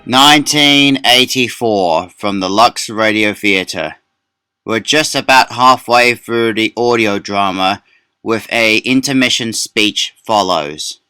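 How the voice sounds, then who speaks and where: A man's voice: on the low side, slow pace, pushed and bright, clear, resonant tone, dry recording. A man presenting scripted narration with political commentary.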